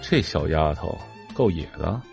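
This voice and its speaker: A man's voice: lively intonation, measured pace, creaky, darkish tone, faint music behind, exaggerated voice. An actor playing an aloof, laconic young rich man with a listless manner in a Chinese audio drama. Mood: cool, terse. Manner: terse, low, listless.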